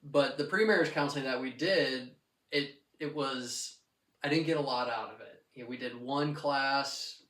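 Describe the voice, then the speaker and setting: A young man from Texas, a pastor, telling stories in a relaxed, easygoing way. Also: medium pitch, moderate pace. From Texas, USA. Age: young adult. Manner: relaxed storyteller, easygoing and joking. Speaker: pastor and podcast guest. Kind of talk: casual interview talk. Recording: roomy echo, mic at a distance.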